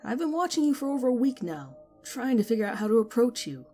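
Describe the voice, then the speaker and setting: A woman voicing a persistent, curious young man, earnest and smooth, in a horror story reading. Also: a little high, sing-song, fast, mellow, faint music behind, voice pushed up. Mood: insistent. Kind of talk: acted dialogue in horror audiobook.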